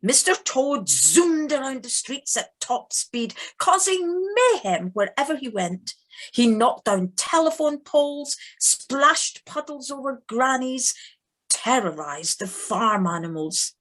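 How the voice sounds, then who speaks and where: A woman acting as the narrator of a storybook animal tale in an audio play, with measured, expressive delivery. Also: sits high, full character voice. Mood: lively storytelling. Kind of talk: scripted narration.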